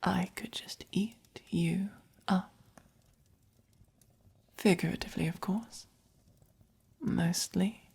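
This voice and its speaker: A woman voicing a gentle, possessive, doting vampire in a soft-spoken ASMR roleplay, with an English accent and breathy, whispery, coaxing delivery. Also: lowish voice, full character voice. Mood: adoring, teasing. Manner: slow, coaxing, gently commanding. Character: gentle, possessive, doting dominant vampire keeping a human pet.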